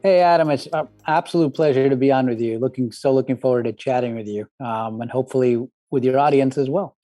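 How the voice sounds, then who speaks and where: A man's voice: mid-range voice, quick pace, mellow, no echo, faint music behind. A middle-aged man, born in southern India and raised in New York, talking reflectively as an interview guest, with many restarts and fillers. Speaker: guest, tech company founder. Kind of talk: conversational interview.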